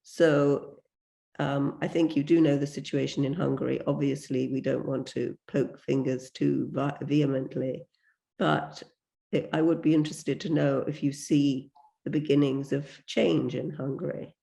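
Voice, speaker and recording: Male moderator, mellow dark tone, raspy fry, polite and formal, a bit hesitant. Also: medium pitch, flat delivery, a little slow. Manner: courteous, formal phrasing with hesitant restarts. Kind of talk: lecture moderation and questioning.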